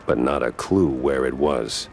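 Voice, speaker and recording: A man's voice: very deep voice, fairly even intonation, measured pace, vocal fry, background noise, full character voice. A man playing a world-weary, wisecracking cartoon mouse private detective with a dry, sardonic delivery in a hardboiled noir game. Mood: wry.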